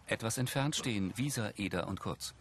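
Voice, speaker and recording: Very deep-voiced male narrator. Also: a little fast, fairly bright tone, slight background noise. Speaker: audio description narrator. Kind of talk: scripted audio description of a TV series.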